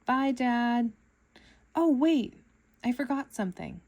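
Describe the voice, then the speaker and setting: A woman's voice: a little high, animated, slow pace, a little mellow, voice pushed up, full character voice. A woman voicing an earnest, brave little boy clownfish from a children's storybook, with a childlike, eager manner. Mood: cheerful.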